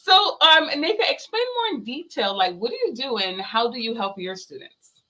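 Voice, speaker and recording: A woman from Barbados hosting a live online interview, upbeat and quick to laugh. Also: a little high, lively intonation, sharp tone, low-quality recording. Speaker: podcast host.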